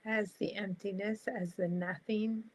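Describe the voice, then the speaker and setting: A woman guiding a meditation in a calm, soft, spacious manner, leaving long pauses between short phrases. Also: medium pitch, rough recording. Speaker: meditation guide.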